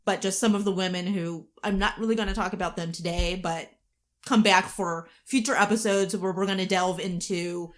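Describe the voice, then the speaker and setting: A woman's voice: fairly low pitch, steady tone, moderate pace. A woman co-hosting a podcast in casual, conversational style, talking about her creative work. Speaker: podcast co-host, writer and performer. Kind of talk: casual behind-the-scenes podcast chat.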